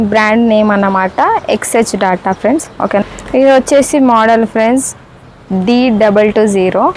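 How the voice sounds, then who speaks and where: A woman's voice: a little high, a little mellow, a little hiss or hum, poor audio. A woman with a Telangana Telugu accent doing a casual unboxing demonstration, often checking in with listeners as friends. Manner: repeatedly checks in with listeners, addressing them as friends. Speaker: vlogger presenting a product.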